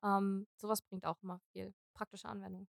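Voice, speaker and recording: A woman's voice: medium pitch, flat delivery, brisk, gravelly, no room sound, studio quality. A woman in her twenties co-hosting a podcast in casual chat, laughing often and chiming in with short agreements. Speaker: podcast co-host.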